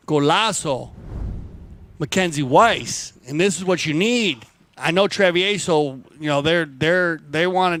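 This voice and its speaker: A man's voice: a little high, fairly bright tone. A man co-commentating live on a polo match in an exclamatory way.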